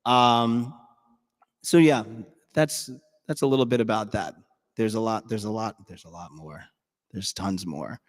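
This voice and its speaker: A man's voice: lowish voice, a little slow. Chatty bandleader man in a reverberant hall. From New York City. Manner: chatty and playfully nerdy, lots of ums and restarts. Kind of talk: casual talk to a live audience.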